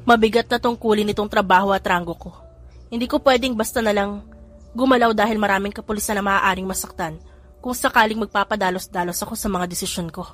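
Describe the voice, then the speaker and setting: A woman reader voicing a male police general who explains calmly and teases affectionately, with little change from her own voice. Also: medium pitch, fast talker, natural voice. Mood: explaining.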